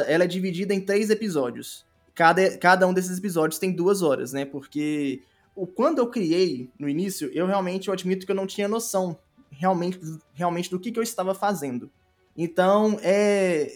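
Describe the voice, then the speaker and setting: Brazilian guy w a pretty high voice explaining stuff on a podcast, bit of music under it. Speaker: podcast guest, audio drama creator. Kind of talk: casual explanatory interview talk.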